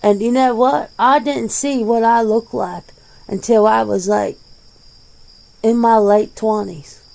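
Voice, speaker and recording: Woman playing a mellow, creaky, laid-back werewolf. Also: fairly high pitch, steady tone, takes it slowly, rough recording. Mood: candid, animated. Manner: blunt, casual, swearing, conversational. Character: jaded, defensive werewolf in their forties, plain-spoken. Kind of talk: ASMR roleplay of an interview.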